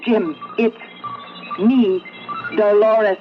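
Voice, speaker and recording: A menacing, coaxing female machine mind speaks in an old radio play. Every phrase is drawn out extremely slowly at a medium pitch. The vintage broadcast sound is dull, with music behind it. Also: steady tone. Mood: taunting, coaxing. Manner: slow, drawn-out, deliberate phrasing. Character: female sentient computer, taunting, coaxing, menacing. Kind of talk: 1970s radio drama acting.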